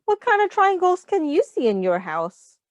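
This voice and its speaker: A woman reading a picture book aloud to young children as a teacher, explaining simply and pausing to ask questions. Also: very high voice, sing-song, dry recording, muffled recording.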